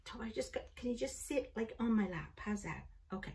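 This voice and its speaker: A woman's voice: a little high, expressive, brisk, darkish tone, far from the mic, a little hiss or hum. A middle-aged woman from Liverpool, England, speaking with a Canadian accent, hosting a knitting podcast in a casual, digressive way and laughing easily. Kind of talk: casual chat to camera. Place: home craft room, indoors.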